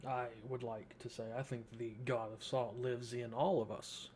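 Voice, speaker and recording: A man's voice: medium pitch, level tone, normal speed, a little mellow, a little hiss or hum. A young man giving casual, slangy, joking let's-play commentary as a gaming streamer.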